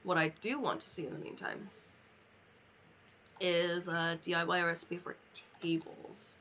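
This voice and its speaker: Casting: female game streamer, mid pitch, casual thinking-aloud mutter, muffled lo-fi recording with noise behind. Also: level tone, a little slow, fairly bright tone. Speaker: livestreamer. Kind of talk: casual chat while playing a video game. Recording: dull lo-fi mic, clear background noise.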